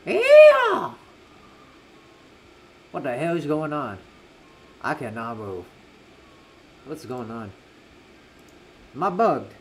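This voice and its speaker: A man's voice: fairly high pitch, huge pitch swings, very slow, long pauses, noisy background. A young man casually commentating a game on a livestream, slangy and reactive, repeating himself as he chats. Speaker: livestreaming gamer. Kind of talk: casual live game commentary, chatting with viewers.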